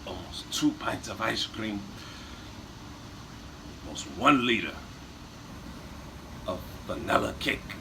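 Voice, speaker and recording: A boastful Bulgarian raconteur acted out by a man rambles dramatically in broken English. His voice is very low and unhurried, set against clear background noise. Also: lively intonation. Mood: boastful, dramatic. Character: melodramatic Bulgarian man, boastful old war storyteller. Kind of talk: improvised comic in-character storytelling.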